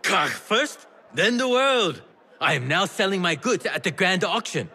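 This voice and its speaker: An actor playing a wily, affectionate old tea merchant in a video game, with a theatrical, teasing, exclamatory manner. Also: male voice, sits high, sing-song, unhurried, bright, forceful tone, slightly rough, full character voice. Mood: shrewd, familiar. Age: older. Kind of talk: video game character acting.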